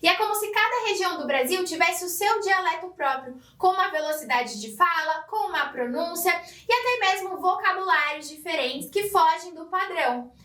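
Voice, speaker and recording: A woman from São Paulo with a Paulista accent, teaching a language lesson clearly and directly to the viewer. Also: very high-pitched, lively intonation, normal speed, hardly any pauses, pushed and bright, echoey room, far from the mic. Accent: Paulista Brazilian Portuguese. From Brazil (São Paulo). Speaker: German teacher. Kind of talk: explanatory online lesson.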